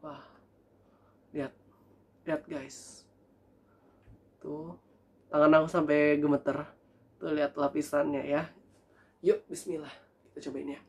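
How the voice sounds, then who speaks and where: A young man in a casual food review vlog, talking in a chatty, animated way to his viewers while seated at a table in a small room. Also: fairly high pitch, a little slow, creaky, long pauses. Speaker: food vlogger.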